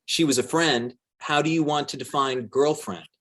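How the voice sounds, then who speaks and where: A man's voice: mid-range voice, a bit bright, pitched above the performer's own voice, natural voice. A man playing an evasive, pedantic politician under questioning in a dramatic transcript reading. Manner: deliberate, dodging, pedantic.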